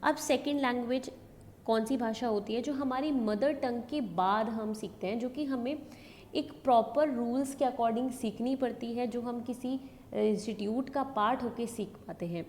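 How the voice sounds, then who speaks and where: A woman's voice: fairly high pitch, a little mellow. North Indian woman lecturing in echoey room. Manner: repeats and rephrases key points. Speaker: education lecturer. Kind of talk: explanatory lecture mixing in English terms. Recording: echoey hall reverb, background noise.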